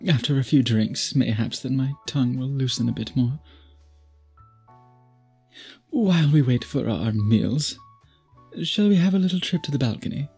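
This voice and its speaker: A man playing a centuries-old vampire suitor in an intimate ASMR audio drama, speaking in formal, archaic, deliberate phrases with a breathy, husky voice. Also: mid-range voice, expressive, a little mellow, faint music behind, heavily acted. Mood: reticent, polite. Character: centuries-old male vampire suitor, possessive, courtly, brooding.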